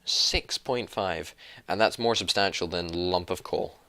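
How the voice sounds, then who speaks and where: A man's voice: low-pitched, measured pace, pushed and bright. A young Scottish man playing along in a gaming video, keen and self-deprecating in casual chat. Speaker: co-op gaming partner.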